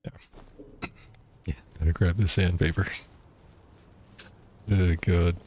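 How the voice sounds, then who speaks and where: A man's voice: sits low, flat delivery, takes it slowly, a little mellow, slight background noise. A young man streaming a game, telling childhood stories in a rambling, laid-back way while he plays. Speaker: gameplay streamer. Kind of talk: casual chat while playing.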